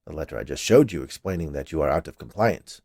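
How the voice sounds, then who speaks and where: A man's voice: rumbling low, animated, fast talker, gravelly, warm tone, dry recording, studio quality, pitched below the performer's own voice, full character voice. A man reading a story, voicing an officious, stubborn male health inspector with a curt, pedantic manner.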